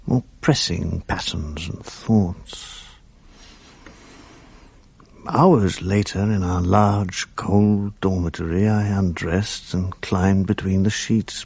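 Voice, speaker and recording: An actor playing a grown man who narrates his memories of youth in a radio play, thoughtful and measured. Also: creaky, a little mellow, breathy edge, heavily acted. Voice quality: slow, measured, low-key delivery. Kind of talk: reflective first-person narration in a radio play.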